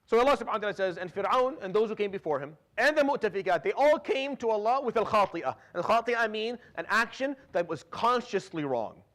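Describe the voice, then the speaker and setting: A man in his thirties who grew up in Syria delivers a relaxed religious lecture at a brisk clip. His voice sits a little high, and he restarts phrases often, dropping Arabic fillers between English sentences and reciting verses rapidly. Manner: conversational, frequent restarts and repeated words, drops Arabic fillers; recites Quranic Arabic quickly. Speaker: imam giving Quran commentary.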